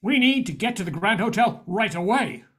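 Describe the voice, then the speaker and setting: Female actor as male detective, medium pitch with very animated swings, earnest and deliberate, radio-play style. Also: slightly rough. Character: earnest, resourceful male private eye. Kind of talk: old-time radio detective drama acting.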